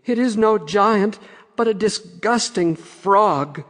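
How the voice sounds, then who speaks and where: A man's voice: high-pitched, slow pace, a bit bright, pitched above the performer's own voice. A man voicing a frightened, petulant young princess in a storybook, with long reverb on the recording. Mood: anxious. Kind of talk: storybook character voice.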